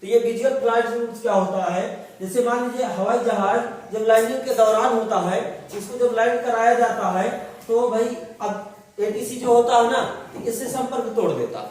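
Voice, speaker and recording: A man's voice: very high-pitched, a little mellow. A man teaching a class, explaining step by step and asking and answering his own questions. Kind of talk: classroom lecture. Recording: distant, very echoey room.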